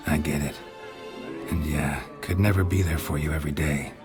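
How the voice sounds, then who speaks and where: A laconic male monster hunter from a fantasy game. His voice sits very low, gruff and dry, speaking in clipped deadpan lines with quiet tenderness over clear background music. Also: normal speed. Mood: tender, reassuring. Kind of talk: video game dramatic acting.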